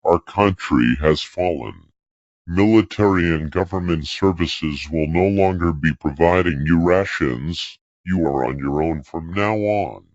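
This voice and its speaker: A man's voice: low-pitched, no room sound. A man playing a solemn, formal government announcer reading a scripted emergency broadcast in dull, muffled audio. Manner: grave, formal.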